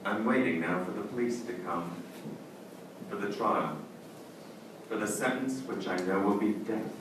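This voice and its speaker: A man's voice: fairly low pitch, unhurried, lightly acted. A man playing a desperate, doomed judge in an old-time radio melodrama, with theatrical delivery. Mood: resigned, somber. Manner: theatrical, pleading. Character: desperate, doomed male judge who murdered his wife. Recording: echoey, distant stage recording, faint noise.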